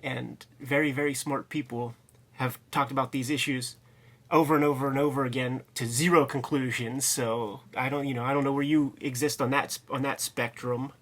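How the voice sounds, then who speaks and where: A man's voice: mid-range voice, steady tone, moderate pace, fairly bright tone. A man co-hosting a discussion show, giving colloquial, off-the-cuff commentary and often searching for his words. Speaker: co-host of a comic book discussion show. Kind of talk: casual chat and commentary.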